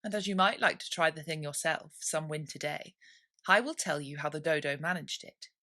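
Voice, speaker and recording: A woman reading a classic children's story aloud in an expressive, scripted narration style. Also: low-pitched, normal speed. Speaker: storybook reader.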